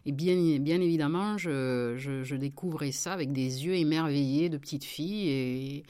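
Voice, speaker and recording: Low-voiced woman. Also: moderate pace. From southern France. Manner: hesitant, many fillers and restarts, warm laughs. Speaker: member of parliament, interview guest. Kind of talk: relaxed personal interview.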